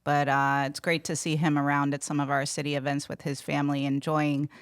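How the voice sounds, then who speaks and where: A woman's voice: deep voice, flat delivery, fairly quick, smooth, no echo, studio quality. A woman co-hosting a podcast for a city communications team, upbeat and affirming in casual talk.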